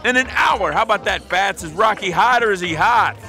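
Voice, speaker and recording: A brash American small-time crook in a radio play. His voice runs high and swings widely as he talks like a slangy, womanizing wise guy, with music playing behind him. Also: animated, fairly quick, sharp tone, heavily acted. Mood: cocky. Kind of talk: radio drama acting.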